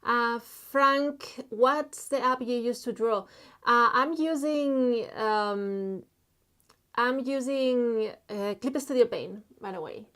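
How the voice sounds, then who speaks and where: Slow-talking woman from Spain. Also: on the high side, fairly bright tone. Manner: halting, many fillers, reads chat messages aloud. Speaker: artist live streaming while drawing. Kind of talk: casual live stream chat.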